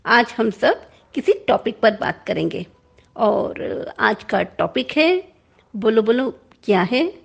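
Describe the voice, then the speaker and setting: A woman voicing a lively female primary school teacher, animated and encouraging, in a storybook reading. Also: a little high, slow, creaky, slightly rough, heavily acted. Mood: brisk, inviting. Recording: dull lo-fi recording.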